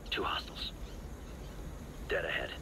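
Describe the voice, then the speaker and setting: A man playing a cool special forces soldier making terse, low-key tactical callouts in a video game. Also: unhurried, whispered, lightly acted. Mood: focused, stealthy. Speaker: soldier in a squad. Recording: loud battle ambience behind.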